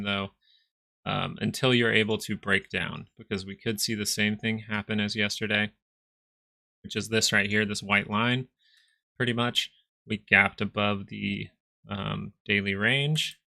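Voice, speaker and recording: A man with a gravelly, bright and forward voice talks a livestream audience through stock charts. His pitch sits low and barely moves, and he keeps a loose, chatty tone at a desk mic. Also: no echo. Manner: casual, chart-pointing, conversational asides to viewers. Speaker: stock market livestream host. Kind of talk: casual live market commentary.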